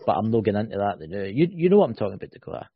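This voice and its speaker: Husky man musing aloud, dry lo-fi sound. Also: fairly low pitch, rapid-fire, dry recording. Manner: animated, speculative, keeps asking "right?". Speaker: podcast co-host. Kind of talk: casual chat. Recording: dull lo-fi, dry.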